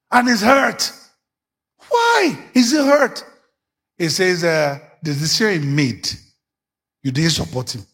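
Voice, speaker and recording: A male pastor preaching a sermon, dropping his voice low and then bursting into shouts. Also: breathy edge. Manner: preaching with sudden shouts and dramatic swings in pitch.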